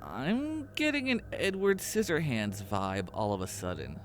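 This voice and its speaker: A man's voice: mid-range voice, sing-song, measured pace, slight background noise, voice pushed up. A man voicing an eager, easygoing young adventurer with a casual manner, in a cheesy horror audio drama. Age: young adult.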